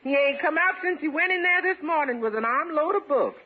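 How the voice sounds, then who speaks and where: An actress playing a wry, folksy housekeeper in a 1950s radio comedy. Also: female voice, high voice, lively intonation, fairly quick. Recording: muffled, hissy 1950s radio recording.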